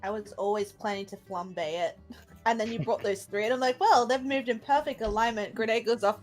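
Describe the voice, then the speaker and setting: A woman chatting as a player in an online tabletop game, talkative and quick to laugh. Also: a little high, faint music behind, low-quality recording. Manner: chatty and quick to laugh, says she talks too much. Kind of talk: casual chat during an online game session.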